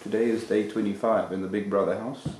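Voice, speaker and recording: A man's voice: lowish voice, steady tone, brisk, a little mellow, echoey room, a little hiss or hum, low-quality recording. A man, an exchange student, talking wryly to the camera in a video diary.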